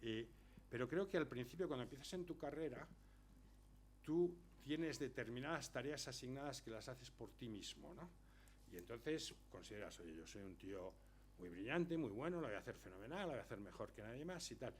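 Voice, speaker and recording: A man's voice: medium pitch, animated, a little fast, a little hiss or hum. Chatty older man telling anecdotes. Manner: chatty anecdotal storyteller, lots of tag questions. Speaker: author presenting his book. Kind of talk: casual talk answering audience questions. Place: lecture hall before an audience.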